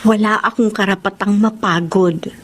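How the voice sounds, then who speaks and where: A woman's voice: medium pitch, fairly even intonation, normal speed, slight background noise, natural voice. A woman playing a determined, selfless young breadwinner in an emotional radio drama, speaking earnestly and resolutely. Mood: weary, defensive.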